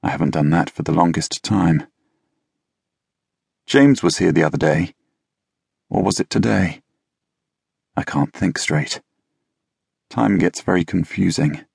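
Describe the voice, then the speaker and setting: A man narrating a novel in the first person, with a wry, measured, deadpan delivery. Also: deep voice, creaky, slightly rough, dry recording, studio quality. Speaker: audiobook narrator. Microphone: close studio mic.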